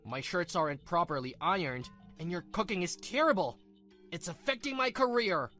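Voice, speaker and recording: An actor playing a domineering, short-tempered working husband in a manga dub, talking in a scornful, blaming way. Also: male voice, high-pitched, animated, moderate pace, sharp tone, soft background music. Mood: angry, blaming.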